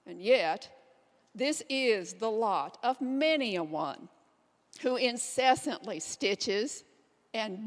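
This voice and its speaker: Woman acting 19th-century women's rights orator, highish voice, slow deliberate declamation, earnest, reverberant hall, stage reading. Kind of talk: readers theater monologue, declamatory.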